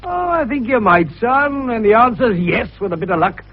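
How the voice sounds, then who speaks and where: A jovial old British doctor-detective in a vintage radio play. His voice is high and creaky, and he explains things in a folksy, teasing, avuncular way. The recording is dull. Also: sing-song, normal speed, heavily acted. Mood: jovial, confident. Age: older. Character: jovial, shrewd, wheezy older amateur detective doctor, male. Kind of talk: 1950s British radio drama acting.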